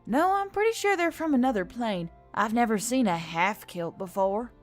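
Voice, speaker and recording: A woman's voice: a little high, animated, moderate pace, close to an everyday voice. Woman playing a blunt, brash adventurer. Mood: dry, casual. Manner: blunt, casual, wry. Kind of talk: audiobook character dialogue. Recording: music under the voice.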